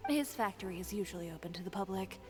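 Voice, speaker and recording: An actress playing a composed, confident fashion designer in a video game, with a measured, matter-of-fact delivery. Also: female voice, mid-range voice, lively intonation, natural voice. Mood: determined. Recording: game music behind the voice.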